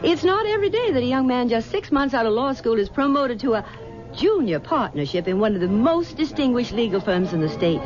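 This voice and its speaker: A possessive society mother, sweet and cooing in a slightly high voice. The dull 1970s radio sound has music behind her. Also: big pitch swings, brisk. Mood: doting. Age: middle-aged. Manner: honeyed, cooing, theatrical. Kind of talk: 1970s radio drama acting.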